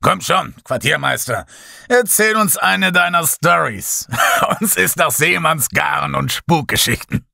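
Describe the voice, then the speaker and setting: A man's voice: a little high, expressive, unhurried, gravelly, pushed and bright, no echo, clean studio sound, voice pushed up, exaggerated voice. A man voicing an eager sailor who coaxes an old shipmate for a tale. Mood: coaxing.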